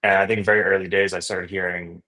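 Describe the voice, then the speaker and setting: A man with a fairly low voice, from Indonesia and a native speaker of Indonesian. He talks as an interview guest, telling his story in a casual, enthusiastic way and often tagging phrases with a checking word. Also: flat delivery, fast, bright, forceful tone. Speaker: podcast guest, startup founder and investor.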